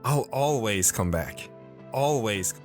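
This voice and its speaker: Man playing a low-voiced, earnest veteran storyteller. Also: expressive, takes it slowly, soft background music. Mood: tender, reflective. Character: earnest, sombre retired military man telling his life story. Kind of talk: audio drama narration and dialogue.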